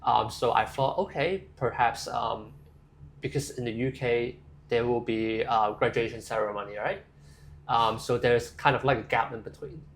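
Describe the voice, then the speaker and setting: A man's voice: medium pitch, steady tone, normal speed, slight background noise. A young man from Hong Kong, answering interview questions casually and thoughtfully, with frequent fillers and restarts. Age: young adult. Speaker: interview guest.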